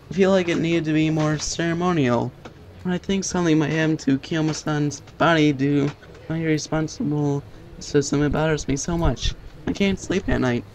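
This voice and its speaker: A man's voice: a little high, darkish tone, a little hiss or hum, natural voice. A man voicing a timid, apologetic young game character, hesitant, polite and worried. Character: soft, timid, apologetic young person, fretful.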